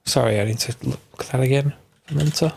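A man's voice: medium pitch, fast talker, gravelly, a little mellow, slight background noise. A man with a stuffy, bunged-up voice from a cold, casually narrating a game mod tutorial, thinking aloud and correcting himself as he goes. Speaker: Minecraft mod tutorial host.

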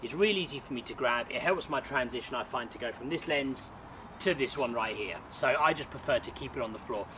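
A man's voice: medium pitch, expressive, a little fast. A man, chatting upbeat as he explains his gear step by step to camera in a small back garden. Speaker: sports photographer and vlogger. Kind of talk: casual gear walkthrough. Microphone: camera mic on a tripod, a few feet away. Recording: outdoor camera audio with noticeable background noise.

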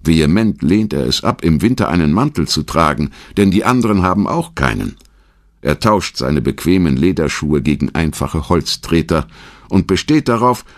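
A man narrating a scripted documentary in a measured, storytelling manner. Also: deep voice, lively intonation, dry recording, studio quality.

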